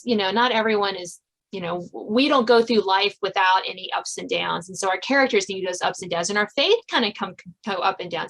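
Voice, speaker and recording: A woman's voice: medium pitch, fairly bright tone. Woman hosting a conversational interview. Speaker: podcast host.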